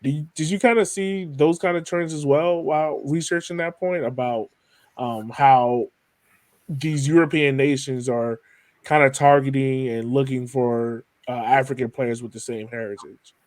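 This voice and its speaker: A man's voice: mid-range voice, fairly even intonation, normal speed, a little mellow, no echo. Dry, close-sounding man hosting. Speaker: podcast host. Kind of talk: casual conversational interview.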